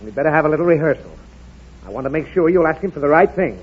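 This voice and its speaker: A man's voice: a little high, expressive, quick pace, breathy edge. A man playing a blustery, flustered, exasperated father in a 1930s radio comedy. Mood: flustered, bossy. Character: exasperated, grumbling father, boyishly excited by toys. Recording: dull lo-fi 1930s radio recording.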